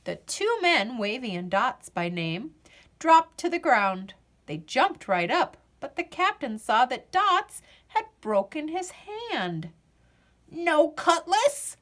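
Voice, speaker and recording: Female picture-book narrator, expressive and deliberate, lively swooping pitch on the high side. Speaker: storyteller. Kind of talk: children's picture book read-aloud.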